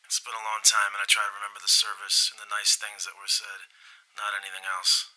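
A man's voice: fairly low pitch, completely flat delivery, fairly quick, bright, forceful tone, poor audio. An actor playing a reluctant, curt man who brushes people off on the phone, in an audio drama. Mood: curt, dismissive. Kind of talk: naturalistic audio drama acting.

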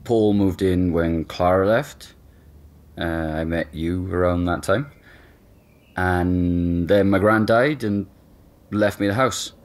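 A man's voice: sits low, slow pace. An actor playing an easygoing young man who jokes and hesitates as he talks. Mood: casual. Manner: relaxed, joking, hesitant with fillers. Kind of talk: audio drama acting.

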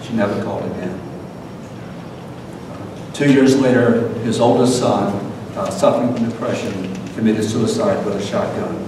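A man's voice: normal speed, pauses often. Older man lecturing in very echoey hall. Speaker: host, lecturer. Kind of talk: scripted public lecture. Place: meeting room, at lectern, far camera. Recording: very echoey hall from far off, noisy rumble.

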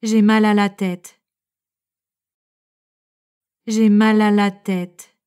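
A woman narrator reading French phrases for learners, clearly and evenly, each one repeated. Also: medium pitch, level tone, very slow, darkish tone. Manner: reads each phrase three times, evenly.

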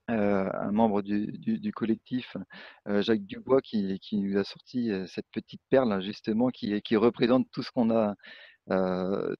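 A young man presenting in a webinar from his office, halting and full of fillers and restarts. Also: fairly low pitch, dry recording. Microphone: video call. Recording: muffled video call audio.

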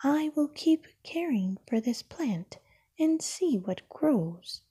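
A woman voicing a gentle, simple male teddy bear from a storybook, speaking softly and sadly in a whisper. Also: high-pitched, very animated, slow pace, voice pushed up, heavily acted. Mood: wistful. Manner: soft, sad, whispery.

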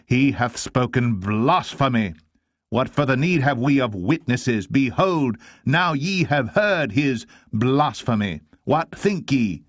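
A man voicing an indignant, commanding high priest in a solemn, emphatic declamatory manner. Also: a little slow, no echo, studio quality, close to an everyday voice. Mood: outraged.

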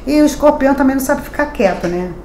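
A woman's voice: high voice, lively intonation, fast talker. A middle-aged Brazilian woman giving a daily horoscope card reading to camera, chatty and emphatic, talking straight to her viewers. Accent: Brazilian Portuguese. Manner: chatty and emphatic, addressing viewers directly with tag questions. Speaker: tarot reader. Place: small decorated home studio room. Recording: echoey room with faint ambient noise.

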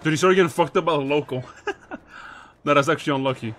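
A man's voice: a little high, fast talker, a bit bright, slight background noise. A man streaming and reacting to game clips in casual, laid-back talk, swearing freely.

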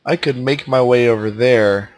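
A man with a stuffed-up, nasal voice giving relaxed, casual commentary while playing a strategy game. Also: medium pitch, normal speed, dry recording. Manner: laid-back, thinking aloud as he plays. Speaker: let's play commentator.

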